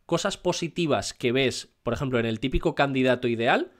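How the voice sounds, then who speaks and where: Man, interviewer, hesitant, lots of fillers, ordinary pitch. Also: a little fast. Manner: hesitant, many fillers and rephrasings. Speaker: interviewer, exam candidate. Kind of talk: interview questions.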